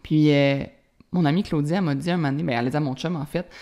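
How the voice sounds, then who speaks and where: Low-voiced Quebec woman chatting casually. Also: very fast, mellow. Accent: Quebec French. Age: 30s. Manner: casual, chatty, many fillers and anglicisms. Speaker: podcast host. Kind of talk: solo podcast monologue.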